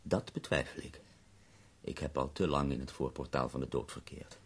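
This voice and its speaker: A bedridden poet near death in a radio play. His voice is extremely low, gravelly and husky, and he speaks with wry, theatrical eloquence, leaving weary pauses between phrases. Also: fairly even intonation, full character voice. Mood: resigned. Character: bedridden, dying poet, ironic, complaining and theatrical. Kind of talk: radio drama acting.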